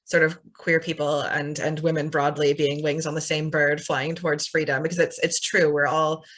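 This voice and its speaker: Bright-voiced Canadian woman, earnest host. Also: sits low, flat delivery, moderate pace. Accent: Canadian English. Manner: earnest, many fillers and restarts. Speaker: interviewer, host. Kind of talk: casual interview.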